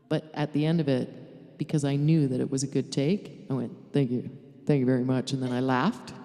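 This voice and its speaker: A woman with a low voice, a singer-songwriter hosting a live stream, chatting casually and laughing as she tells stories between songs. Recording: reverberant room with loud guitar music.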